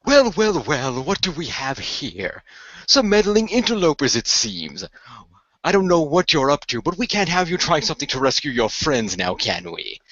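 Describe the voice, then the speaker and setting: Smug male sorcerer villain, acted by a man, mocking, theatrical, bright voice, dry muffled recording. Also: a little high, very animated, normal speed, no room sound.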